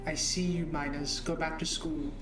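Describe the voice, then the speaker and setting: A woman's voice: deep voice, flat delivery, normal speed, over music. A woman chatting casually, dropping dry, teasing interjections as a commenter. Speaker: off-hand commenter alongside the host. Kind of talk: casual chat.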